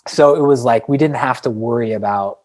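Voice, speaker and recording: A man talks through his thoughts in a casual interview style. He rambles reflectively and is modest to the point of self-deprecation, with an ordinary mid-pitched voice. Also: fairly quick, a little mellow. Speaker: podcast guest, indie band frontman.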